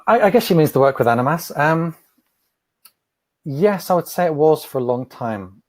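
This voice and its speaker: A man answering interview questions as an entrepreneur, thoughtful and measured, often repeating a word as he starts. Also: a little high, lively intonation, a little mellow, no room sound. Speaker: podcast guest, entrepreneur.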